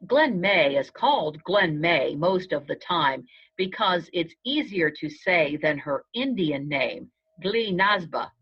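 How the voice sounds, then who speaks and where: A woman narrating a children's storybook aloud in the first person, in a measured storytelling manner. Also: on the low side, breathy edge. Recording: dull, lo-fi sound.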